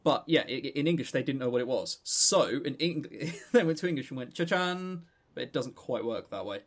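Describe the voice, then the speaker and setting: A man's voice: medium pitch, lively intonation. A man co-hosting a podcast in casual chat, jokey and mock-serious, often stammering and repeating words. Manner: halting, stammering repetitions. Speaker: podcast co-host.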